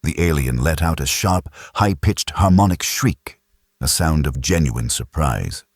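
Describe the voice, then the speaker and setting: Very deep, husky male audiobook narrator. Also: animated, unhurried, dry recording, clean studio sound. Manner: intense, grave storytelling. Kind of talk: dramatic scripted sci-fi narration.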